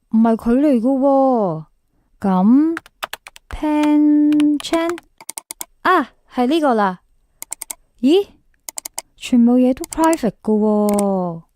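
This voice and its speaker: Lovelorn, insecure young Cantonese woman, high-voiced. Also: expressive, very slow, pauses often, dark tone, slight background noise, heavily acted. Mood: puzzled. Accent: Hong Kong Cantonese. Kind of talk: radio drama acting with confessional narration. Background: music under the voice.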